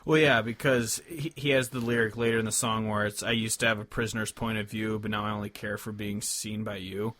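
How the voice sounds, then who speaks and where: A man's voice: on the low side, steady tone, brisk, hardly any pauses, fairly bright tone, dry recording. Casual man co-hosting, close dry sound. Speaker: co-host of a music podcast. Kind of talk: casual chat, introducing album tracks.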